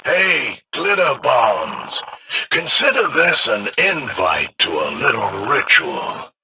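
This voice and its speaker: A menacing, swaggering game villain. He drawls his mockery slowly with big swoops in pitch, over a noisy radio channel. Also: sing-song, vocal fry, exaggerated voice. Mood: mocking invitation. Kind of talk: video game radio taunt.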